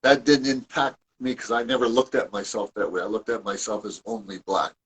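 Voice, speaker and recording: A man's voice: fairly low pitch, fairly even intonation, a little fast, a bit bright, husky. A man from Toronto, a guest and author, telling his family history in a rambling, casual way with many restarts and asides. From Toronto, Canada. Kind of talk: casual conversational storytelling.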